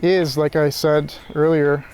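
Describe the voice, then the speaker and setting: A man's voice: on the high side, level tone, slow, darkish tone, soft background music. A man thinking aloud in an unscripted reflective monologue, hesitant and searching, often circling back over his phrases. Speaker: philosophy vlogger.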